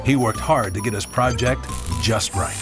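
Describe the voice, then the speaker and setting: A man's voice: fairly low pitch, normal speed. A man reading a scripted children's read-along storybook as its narrator. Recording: music bed behind the voice. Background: background music.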